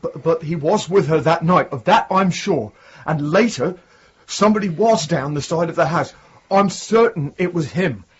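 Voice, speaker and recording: An actor playing a young condemned prisoner in a radio drama, deferential and wounded, reluctant to confide. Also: male voice, fairly high pitch, moderate pace, husky, highs cut off. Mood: pleading, guarded. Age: young adult. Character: haunted young condemned prisoner protesting his innocence.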